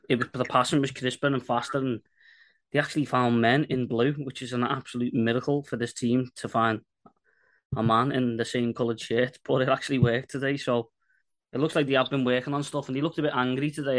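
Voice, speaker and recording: A man's voice: mid-range voice, steady tone, brisk. A man co-hosting a casual sports podcast, plain-spoken and self-mocking. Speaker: podcast co-host. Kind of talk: casual chat.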